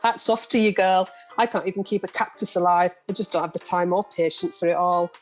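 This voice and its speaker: A woman's voice: fairly low pitch, steady tone, brisk, soft background music, natural voice, rough recording. A woman playing a breezy, bawdy middle-aged journalist in an amateur radio play, recorded over a video call.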